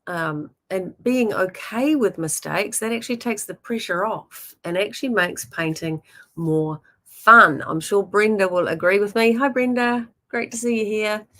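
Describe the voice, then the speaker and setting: Kiwi-accented woman, painting tutor, encouraging, rambly, mid pitch. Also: a little slow, fairly bright tone. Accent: New Zealand. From born in Germany, raised in New Zealand. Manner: chatty and encouraging, many ums and you-knows. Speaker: painting teacher. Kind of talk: casual teaching talk.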